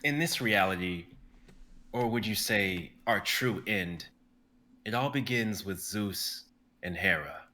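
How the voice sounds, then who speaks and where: A man voicing Akalos, a commanding warrior who speaks in a formal, measured way. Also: fairly low pitch, bright, forceful tone. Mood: stern.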